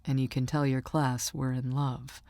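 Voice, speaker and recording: A calm, confident young man from an audiobook romance, performed by a woman. He speaks low and quietly, easygoing and understated, in a dry recording. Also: normal speed, no room sound. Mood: easy, tender.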